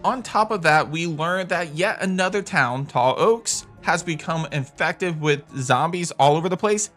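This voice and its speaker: Man, higher voice, scripted countdown commentary, video host, background music. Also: fairly bright tone.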